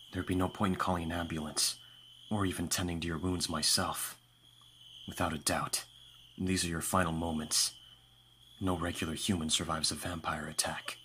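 A man playing a composed vampire lord in an audio roleplay, speaking formally and with calm authority to a servant. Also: deep voice, slight background noise. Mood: grave, detached. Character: lordly young-sounding male vampire master, calm and commanding. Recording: music behind the voice. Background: music.

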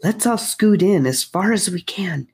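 A woman's voice: mid-range voice, lively intonation, measured pace, a little mellow, voice pushed up. A woman reading aloud voices a confident young boy who explains things in a matter-of-fact way. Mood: calm.

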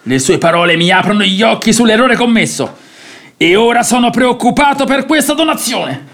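A man's voice: very high voice, animated, normal speed, fairly bright tone, heavily acted. An actor playing a gullible, middle-aged master of the house in a radio drama, declaiming his grievances as a betrayed father. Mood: anxious, dismayed. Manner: declamatory, aggrieved. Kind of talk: theatrical radio drama acting.

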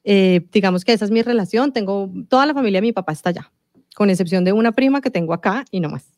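A woman's voice: medium pitch, animated, fast talker, darkish tone, no echo. A middle-aged woman from Colombia with a Colombian accent, chatting as an interview guest with many fillers and restarts. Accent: Colombian Spanish. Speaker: singer-songwriter guest. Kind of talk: casual interview conversation.

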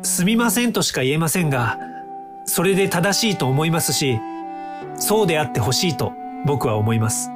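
Guy playing polite young time traveler inventor, super earnest, pitch goes up and down a lot, music under it. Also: expressive, normal speed, close to an everyday voice. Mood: sincere, composed. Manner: polite, explanatory, earnest speech. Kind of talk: audio drama acting.

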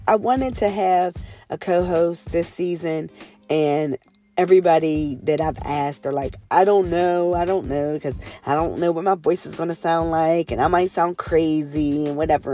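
A woman hosting her own podcast in a candid, casual monologue, her voice raspy and hoarse from a cold. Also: lowish voice, warm tone. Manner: candid, earnest, swears casually. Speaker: podcast host.

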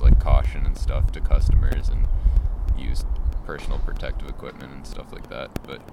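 A young man giving casual interview answers in a halting way, with lots of fillers. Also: very deep voice, creaky. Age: young adult. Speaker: interviewee, student. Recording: loud outdoor background noise.

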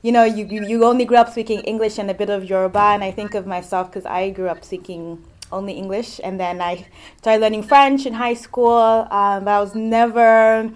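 A woman's voice: medium pitch, fairly even intonation, moderate pace. Chatty woman host from Zambia. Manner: chatty, loose questions with many likes and you knows. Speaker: podcast host. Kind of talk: casual interview.

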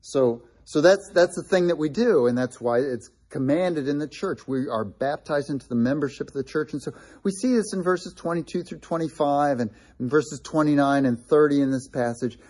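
Middle-aged male preacher, husky and warm-toned, chatty sermon delivery with asides to the congregation. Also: a little high, moderate pace, few pauses. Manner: conversational, with frequent restarts and asides to the congregation. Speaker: pastor.

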